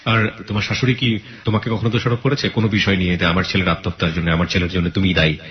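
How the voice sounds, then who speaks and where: A man's voice: sits low, little pitch movement, racing, muffled recording. A man with a Bangladeshi accent hosting a radio show, interviewing a guest about a real-life story with probing questions.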